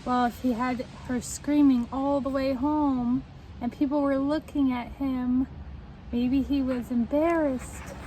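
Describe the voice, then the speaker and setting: A young woman reading a children's book aloud in a deliberate, expressive way, explaining and asking the listeners questions. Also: high-pitched, poor audio. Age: young adult. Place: outdoors on a front step by a house door. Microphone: camera at a short distance. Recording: faint outdoor street noise.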